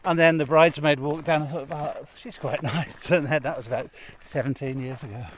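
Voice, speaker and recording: A man's voice: on the high side, steady tone, brisk, slight background noise. Chatty, laughing man outdoors. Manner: chatty, laughs often, self-deprecating. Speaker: guest musician. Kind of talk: casual outdoor interview. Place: outdoors on a hill walk.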